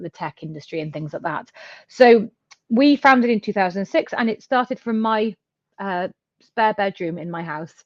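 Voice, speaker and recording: A woman's voice: on the low side, no echo, highs cut off. A British woman speaking fluently and articulately as an interview guest, describing her firm. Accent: British English. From United Kingdom. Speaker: guest, accounting firm founder. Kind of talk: conversational interview.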